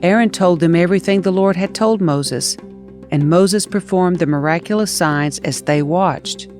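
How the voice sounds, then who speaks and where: A woman narrating scripture as an audio Bible reader, in an expressive, measured storytelling style. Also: lowish voice, soft background music.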